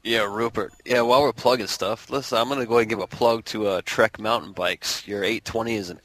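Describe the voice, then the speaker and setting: A man's voice: fairly low pitch, steady tone, a little fast, fairly bright tone, no echo. A man co-hosting a podcast, chatting casually and wisecracking. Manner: laughs often, wisecracking. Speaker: podcast co-host. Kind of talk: casual chat.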